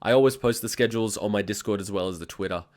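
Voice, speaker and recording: A man's voice: fairly low pitch, fast. Brief: male, twenties, hosts a reaction video with a blunt, profane ranting delivery. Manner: ranting, blunt, heavy swearing. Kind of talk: casual reaction commentary.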